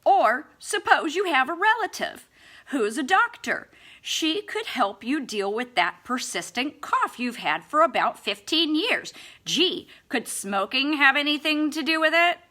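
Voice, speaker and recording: A woman with a fairly high, bright and forceful voice reads a book aloud at an unhurried pace. Her delivery is theatrical and emphatic, laced with mock-earnest sarcasm. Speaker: amateur audiobook reader. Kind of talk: expressive scripted book reading.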